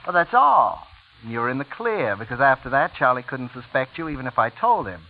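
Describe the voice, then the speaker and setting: Sly blackmailer, tinny old radio. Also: male voice, medium pitch, big pitch swings, brisk, gravelly. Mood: sly menace. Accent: American. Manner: smug, insinuating, drawling little 'huh' tags. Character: sly, menacing former prosecutor blackmailing his brother's wife. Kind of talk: 1940s radio drama acting. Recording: tinny, hissy 1940s radio recording.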